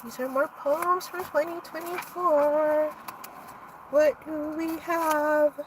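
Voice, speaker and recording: A woman's voice: high voice, steady tone, slow, recorded from a distance, noisy background. A young woman hosting a livestream, reading her own poems aloud with casual asides between them.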